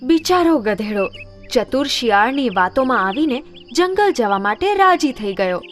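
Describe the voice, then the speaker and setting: A woman's voice: high voice, sing-song, moderate pace. A woman narrating scripted children's stories as a storyteller. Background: background music.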